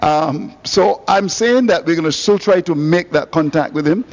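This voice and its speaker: A man's voice: a little high, normal speed. A man hosting cricket radio, giving on-air thanks with jovial, laughing asides. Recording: dull broadcast audio.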